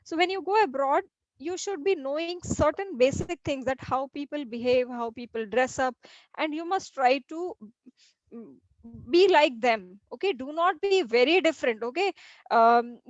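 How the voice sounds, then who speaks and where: A middle-aged woman with an Indian English accent lectures her students in a high voice. She explains point by point, often restarting and repeating her phrases. The recording is dry and dull, with a lo-fi sound. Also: no room sound. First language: Hindi. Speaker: teacher. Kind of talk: classroom lecture.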